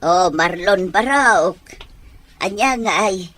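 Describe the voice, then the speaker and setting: A woman acting an emotional, fond mother with a husky voice and Ilocano accent in a radio drama. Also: medium pitch, animated, slow pace, a bit bright. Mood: fond, bantering. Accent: Filipino, Ilocano. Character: emotional, fond mother of a grown daughter or son.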